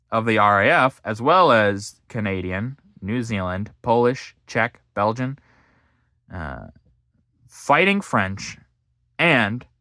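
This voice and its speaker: Man reading history aloud, halting. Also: medium pitch, pushed and bright, no room sound, studio quality. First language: English. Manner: halting reading with fillers and self-corrections. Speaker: history book reader and commentator. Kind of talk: reading aloud a history book with casual commentary.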